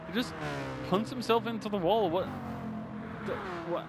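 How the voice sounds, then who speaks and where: A man giving excitable, informal live race commentary with hesitations, his voice strained and starting to give out. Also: sits high, normal speed, over music. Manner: exclamatory, informal, peppered with hesitations. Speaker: esports racing commentator.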